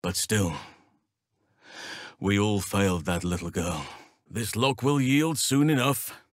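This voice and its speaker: An actor playing a wise, gentle and steadfast elf druid in a fantasy video game, speaking in measured, formal phrases. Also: male voice, fairly low pitch, dry recording, clean studio sound. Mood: earnest, measured. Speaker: video game companion character. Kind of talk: acted fantasy game dialogue.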